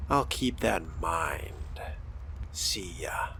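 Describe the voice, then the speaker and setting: A man's voice: low voice, expressive, gravelly, slight background noise, heavily acted. A man playing a newly turned vampire in a role-playing game, suave, aloof and menacing, with formal, theatrical phrasing. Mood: cool, intrigued. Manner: slow, theatrical, formal phrasing, often hushed.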